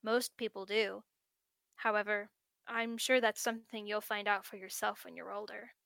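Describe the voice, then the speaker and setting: A woman reading the lines of a gentle middle-aged father in a bedtime story, in a soft, tender tone. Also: medium pitch, little pitch movement, normal speed, gravelly, no echo, studio quality.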